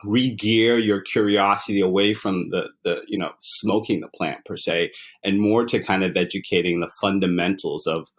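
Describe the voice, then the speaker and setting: A man in his forties from Uganda, a startup founder and author, talking in a relaxed, reflective way with many fillers and repeated words. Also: sits low, moderate pace. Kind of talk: relaxed interview conversation.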